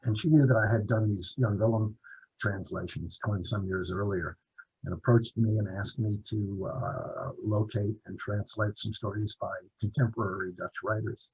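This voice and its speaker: A man's voice: fairly low pitch, fairly even intonation, normal speed, darkish tone, husky, lo-fi sound. A man, an author, telling long stories in an interview over a video call, rambling with restarts and fillers. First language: English. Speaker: author and translator, interview guest.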